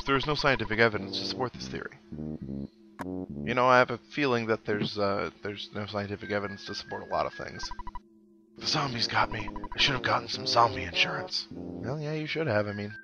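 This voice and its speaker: A man with a fairly low voice hosts a casual let's-play over prominent synth game music. His delivery is wry and sarcastic as he plays and reads game text aloud, and the recording is slightly dull and lo-fi. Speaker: gaming show host, player. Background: synthesized video game music.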